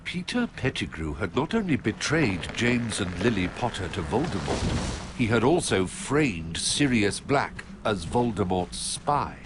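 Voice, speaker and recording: A man narrates game cutscenes in a measured storybook way, with his voice sitting somewhat low. His pitch moves up and down expressively. Background noise runs clearly under his voice in the game audio. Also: very animated.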